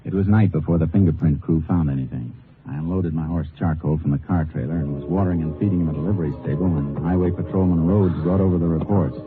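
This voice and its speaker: A stern Texas Ranger with a deep American voice, clipped and commanding. It is old radio drama, narrated in first person over music on a narrow, muffled broadcast recording. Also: male voice, fast talker. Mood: matter-of-fact. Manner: terse, clipped, authoritative. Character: stern, steady Texas Ranger lawman, narrating his own case.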